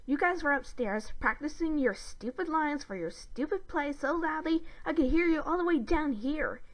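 A woman's voice: medium pitch, very animated, quick pace, poor audio. A woman voicing a vengeful, selfish little sister with a sneering, taunting manner in a read story. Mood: scornful, sarcastic.

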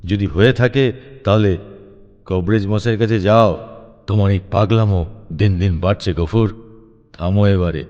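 A man's voice: fairly low pitch, moderate pace, long pauses, a little mellow, in a large hall, dull audio, natural voice. A man voicing a stern village man with a secret, brusque and dismissive, in an audio drama. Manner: brusque, commanding. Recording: music behind the voice.

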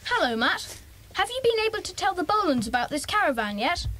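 A woman voicing a bossy, eager schoolboy club leader with an English accent, brisk and commanding, in a children's audio drama. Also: extremely high pitch, very animated, a bit bright, exaggerated voice. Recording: noisy cassette audiobook transfer.

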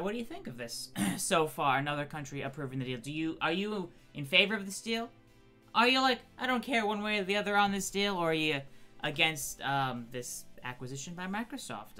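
Raspy, nasal man hosting gaming news. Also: fairly high pitch, sharp tone. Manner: chatty, many ums, reads quotes from screen. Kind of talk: casual news commentary. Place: home streaming room.

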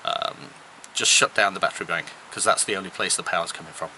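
A man's voice: low voice, fairly even intonation, fast, pushed and bright, slight background noise, rough recording. A man in his fifties explaining things casually to camera as a sailing vlog host. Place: outdoors at a marina and aboard a sailboat.

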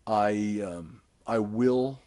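A man's voice: lowish voice, drawn-out words, warm tone. A man in his sixties rambling to a camera held at arm's length in a small garage workshop, blunt and profane, with many restarts. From born and raised in Germany. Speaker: vlogger. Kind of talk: casual rambling monologue to camera. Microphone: camera held close at arm's length.

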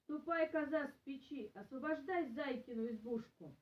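A woman's voice: sits high, normal speed, lo-fi sound, natural voice. A woman voicing a helpful grey wolf from a folk tale, concerned and firm. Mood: commanding. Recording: roomy, distant camera microphone.

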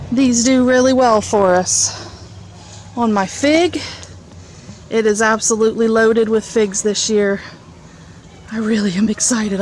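A woman with a fairly high voice chats casually as she shows viewers around a backyard garden. She speaks off the cuff into a handheld camera, with clear outdoor ambient noise around her. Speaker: home gardener giving a tour. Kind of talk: casual off-the-cuff vlog narration. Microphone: handheld camera mic, held by speaker.

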